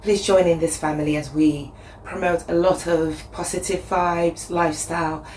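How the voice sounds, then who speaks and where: A woman vlogging casually as she cooks at home. Her voice is husky from the flu, and she talks chattily with plenty of fillers. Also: lowish voice, fairly even intonation, moderate pace, darkish tone. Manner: chatty, upbeat, thinks aloud with fillers. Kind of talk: casual cooking vlog. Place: home kitchen. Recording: echoey room, faint background noise.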